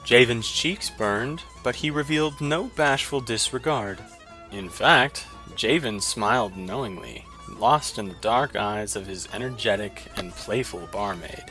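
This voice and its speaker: A man narrating a fantasy short story in an even, descriptive manner. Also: lowish voice, measured pace, soft background music.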